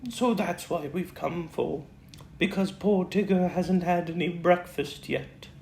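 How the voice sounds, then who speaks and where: A reader doing a gentle, simple bear. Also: mid-range voice, creaky, pitched above the performer's own voice. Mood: mild. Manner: mild, patient, slow. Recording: roomy echo, faint background noise.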